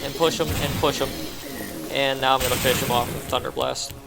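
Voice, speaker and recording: A man's voice: medium pitch, steady tone, a little fast, vocal fry, rough recording. A man giving a casual game walkthrough, narrating his own actions offhandedly in short phrases. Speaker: gameplay commentator. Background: game music.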